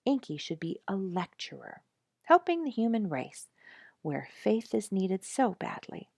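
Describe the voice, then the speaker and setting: A woman reading a storybook aloud as an expressive narrator. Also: mid-range voice, unhurried, creaky, no echo, studio quality.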